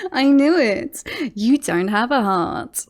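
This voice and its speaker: A woman's voice: mid-range voice, warm tone. A woman playing a smug, possessive female werewolf in an ASMR roleplay, teasing and mocking as she coaxes her beloved human. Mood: amused, taunting. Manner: teasing, mocking, drawn-out coaxing delivery.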